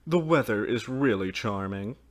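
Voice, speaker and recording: A man's voice: mid-range voice, animated, moderate pace, close to an everyday voice. A man voicing a cheerful young village girl in a storybook, courteous in manner. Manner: bright, courteous.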